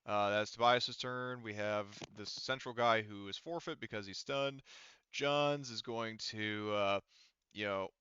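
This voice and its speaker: A man with a lowish, very clear voice acts as game master, talking through combat and dice rolls conversationally. The sound is bright and forceful, on a dry, slightly dulled online call. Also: fairly even intonation, measured pace, lo-fi sound. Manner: profane, conversational. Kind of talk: tabletop roleplaying session, narrating combat and dice rolls.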